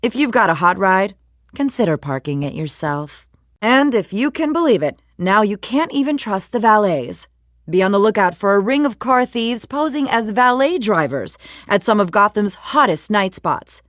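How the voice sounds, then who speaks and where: An actress playing a polished, brisk woman news reporter, reading scripted bulletins in a measured, slightly wry newsreader delivery for a game. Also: medium pitch, animated, a bit bright, no echo, studio quality, lightly acted. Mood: wry, cautionary.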